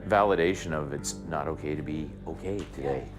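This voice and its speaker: A man in his fifties, a retired Air Force officer, speaking earnestly and softly in a documentary interview, often repeating words as he searches for them. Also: extremely low pitch, brisk. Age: 50s. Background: background music.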